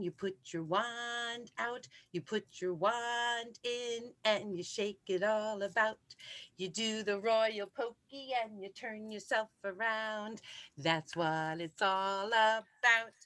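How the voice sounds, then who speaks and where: Woman leading little kids in songs and activities, really bright voice, exuberant, takes it slow. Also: a little high. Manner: exuberant, addresses young children directly. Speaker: children's library program co-host. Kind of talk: preschool sing-along and activity leading.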